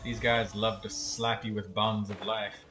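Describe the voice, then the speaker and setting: A man giving casual, excitable, swearing commentary while streaming a video game. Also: lowish voice, measured pace, music in the background. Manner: profane, excitable trash talk. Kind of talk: casual live gameplay commentary.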